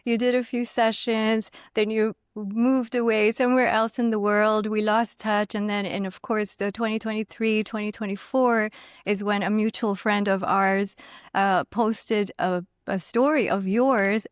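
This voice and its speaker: A woman's voice: medium pitch, smooth, dry recording, clean studio sound. A woman hosting a podcast interview, gracious and effusive in welcoming her guest over a video call. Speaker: podcast host.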